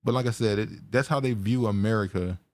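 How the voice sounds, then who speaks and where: Brief: low male podcast host, halting and wry, dry close mic. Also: darkish tone, dry recording. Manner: musing, halting, laughs often. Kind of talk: casual chat.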